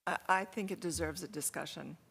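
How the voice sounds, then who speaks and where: A woman commissioner speaking at a city meeting in a measured, deliberate way. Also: sits low, creaky, a bit bright, breathy edge. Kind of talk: public meeting discussion. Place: council chamber.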